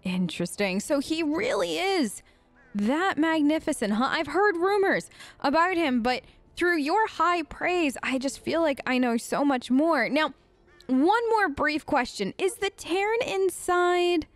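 A woman's voice: high-pitched, expressive, a little slow, no room sound. A woman hosting a gaming video, improvising role-play chat in a chatty, polite, rambling way.

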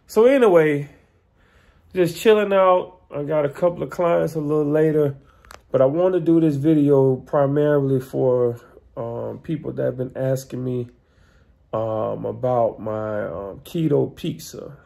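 Gravelly, relaxed man vlogging from his couch. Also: medium pitch, measured pace. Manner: relaxed and rambling, lots of "um" and "man", lying back. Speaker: vlogger and fitness cook. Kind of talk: casual chat. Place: living room, indoors, on a couch. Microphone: phone held at arm's length.

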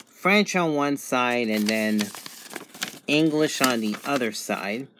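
A man giving a casual unboxing and review narration in a halting, methodical way, in short phrases. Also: mid-range voice, expressive, noisy background. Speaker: product reviewer.

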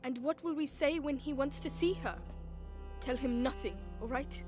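A woman's voice: sits high, moderate pace, natural voice. A young woman acting a determined, protective teenage big sister in a dramatic video game, earnest and emotional. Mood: guarded. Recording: game soundtrack music behind.

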